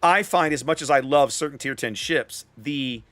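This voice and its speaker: A man's voice: mid-range voice, normal speed, a bit bright, no room sound. A man chatting casually with viewers while commentating on his own live gameplay, thinking aloud in an opinionated, conversational way. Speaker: game live streamer. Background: faint game music.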